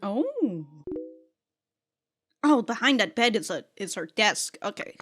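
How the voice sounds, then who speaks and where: A woman's voice: mid-range voice, big pitch swings, slow, a bit bright, faint music behind. A woman giving casual, slangy, sweary and giggly reaction commentary as host of a reaction video.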